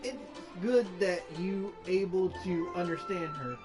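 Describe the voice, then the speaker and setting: High-voiced, slow-paced man doing gameplay commentary. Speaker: gameplay streamer. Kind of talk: casual gameplay commentary, reading dialogue choices aloud. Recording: game music behind the voice.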